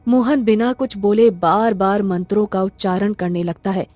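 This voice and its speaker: A woman's voice: medium pitch, steady tone, normal speed, a little mellow, no echo. A woman storyteller reading scripted narration for a cartoon tale. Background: faint music.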